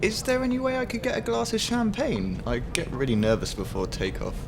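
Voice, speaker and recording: A man's voice: sits high, fairly quick, vocal fry, background noise. A man playing a chatty, entitled airline passenger in a radio drama. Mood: casual, chatty.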